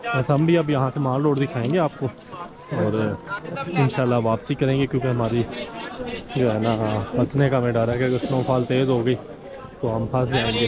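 A man with a Pakistani Urdu accent, chatting casually as a travel vlogger walking a snowy street and laughing at his own remarks. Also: medium pitch. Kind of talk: casual walking vlog commentary. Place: outdoors on a snowy busy street. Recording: crowded outdoor street noise. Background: crowd chatter.

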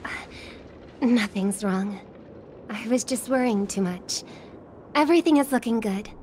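A woman playing a gentle, polite young singer in a video game, speaking softly and with measured care. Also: on the high side, slight background noise, close to an everyday voice. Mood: kindly, reassuring. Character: gentle young female pop singer, caring, polite, thoughtful. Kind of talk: video game story acting.

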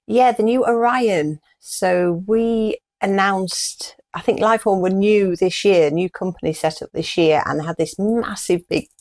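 A woman's voice: mid-range voice, measured pace, hardly any pauses, a little mellow. A middle-aged British woman chatting casually as co-host of a news show, recorded in a small room indoors. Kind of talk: casual chat.

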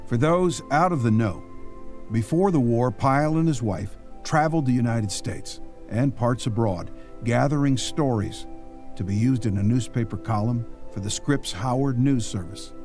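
A man's voice: medium pitch, moderate pace, a little mellow, faint music behind, natural voice. An actor playing an earnest, folksy old-time radio newsman, narrating from a script. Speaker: announcer-narrator.

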